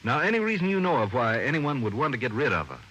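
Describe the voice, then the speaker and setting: A folksy small-town sheriff in old-time radio drama. The man talks in a matter-of-fact way, with a forceful voice of middling pitch over a faintly noisy recording. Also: big pitch swings, quick pace. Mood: brisk, businesslike.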